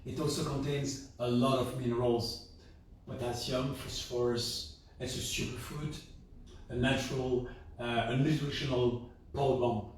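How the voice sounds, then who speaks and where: A man hosting a health video, explaining things to viewers in a raspy voice, hoarse from a sore throat, with halting, self-correcting phrasing. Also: mid-range voice, steady tone, slight background noise. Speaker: host of a health and juicing channel. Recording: very echoey room, distant microphone.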